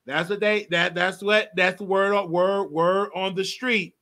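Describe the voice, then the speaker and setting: A man's voice: high-pitched, steady tone, measured pace, bright, forceful tone. A man in his late twenties hosting a live call-in show, chatting casually and laughing often. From Texas. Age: 20s. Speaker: host.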